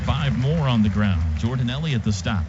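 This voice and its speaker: A man's voice: mid-range voice, expressive, moderate pace. A man doing live radio play-by-play of a football game in clipped, punchy phrases. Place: stadium radio booth. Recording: dull radio broadcast, loud stadium noise. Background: loud stadium ambience.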